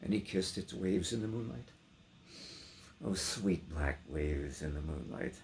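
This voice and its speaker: A man giving a theatrical, declamatory poetry recitation with sharp contrasts between hushed and loud delivery. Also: sits low, expressive, unhurried, a little hiss or hum. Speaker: poetry reader.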